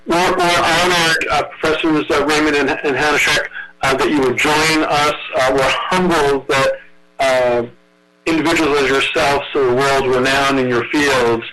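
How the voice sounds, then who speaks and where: A man from Brooklyn, New York, chairing a public meeting over a video call, speaking haltingly with many fillers. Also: on the high side, steady tone, noisy background. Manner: halting, many filler words, effusively thankful. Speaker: commission chair.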